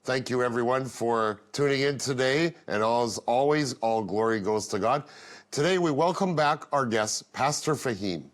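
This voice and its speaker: A man hosts an interview show, opening with a scripted welcome before putting plain, direct questions to his guest. His voice sits at an ordinary pitch. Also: normal speed. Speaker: host. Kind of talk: interview host.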